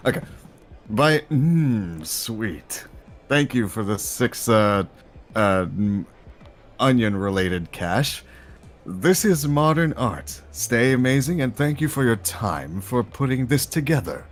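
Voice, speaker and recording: A man playing a theatrical masked jackal villain, co-hosting a livestream in wry, halting banter. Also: medium pitch, sing-song. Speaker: livestream co-host. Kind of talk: in-character improvised livestream banter. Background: background music.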